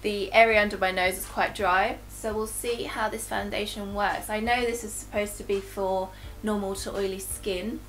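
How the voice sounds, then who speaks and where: A woman's voice: medium pitch, steady tone, moderate pace, a bit bright, low-quality recording. A woman reviewing makeup in a casual, chatty way, sounding a little nasal from a cold. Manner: chatty, talks while applying makeup. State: nasal from recovering flu. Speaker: beauty vlogger. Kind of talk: casual product review and demo.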